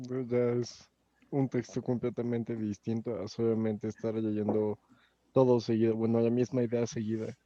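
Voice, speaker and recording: A young man student joins in the class chat with an easy manner. His voice is very dark and mellow. The audio is dry, with no room sound, and a little dull. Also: medium pitch, flat delivery, normal speed, no echo.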